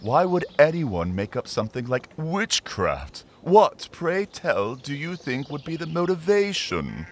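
A man's voice: fairly high pitch, big pitch swings, a little slow, few pauses, slight background noise. A man playing a pompous, credulous Puritan witch-hunter in a comedic audio play, with haughty old-fashioned phrasing. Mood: indignant. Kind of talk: comedic audio theater acting.

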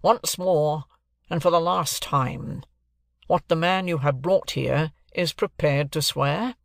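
A man's voice: fairly high pitch, expressive, a little slow, vocal fry, fairly bright tone, no echo, clean studio sound, voice pushed up, exaggerated voice. Man acting out a stern, bullying lawyer. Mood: irate, stern. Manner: blustering, imperious. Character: stern, bullying middle-aged male lawyer, curt and domineering.

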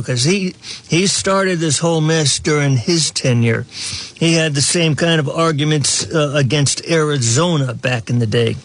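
Slow, rambling male talk show host. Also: on the high side, a bit bright, dry recording. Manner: slow, rambling delivery with frequent restarts and chuckles. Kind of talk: political commentary monologue.

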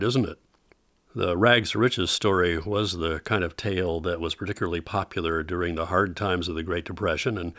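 A man hosting a radio anthology with measured, slightly wry scripted narration. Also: on the low side, a bit bright, no echo, clean studio sound. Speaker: host of an old-time radio anthology. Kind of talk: scripted narration, program introductions.

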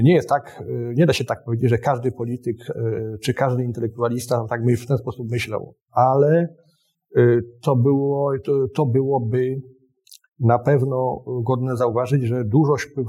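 A man's voice: medium pitch, fairly even intonation, normal speed, warm tone, husky. A man speaking as a guest historian, explaining in formal but hesitant phrases. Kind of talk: explanatory interview talk.

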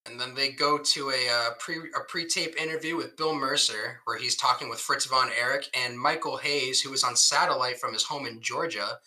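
A man's voice: medium pitch, normal speed, hardly any pauses, rough recording. A man co-hosting a podcast, casually recapping with frequent laughs and plenty of swearing. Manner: laughs often, swears freely, animated recapping. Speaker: podcast co-host. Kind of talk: casual chat.

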